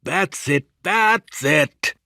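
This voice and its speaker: An actor playing a fat, bloodthirsty chopping knife with a raspy, gravelly, menacing voice in an audiobook. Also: male voice, a little high, lively intonation, very slow, sharp tone, no room sound, clean studio sound, exaggerated voice. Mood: bloodthirsty glee.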